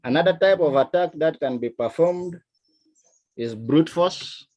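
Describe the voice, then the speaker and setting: A man from South Sudan with a South Sudanese accent, teaching a lesson in a deliberate, emphatic manner. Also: on the high side, darkish tone, low-quality recording. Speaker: instructor. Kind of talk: digital literacy lesson, explaining to learners.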